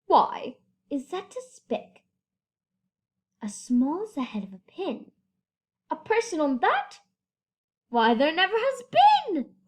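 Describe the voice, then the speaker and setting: A woman's voice: very high-pitched, huge pitch swings, slow, long pauses, voice pushed up, heavily acted. A woman voicing a sour, scornful mother kangaroo from a children's storybook, huffy and disbelieving.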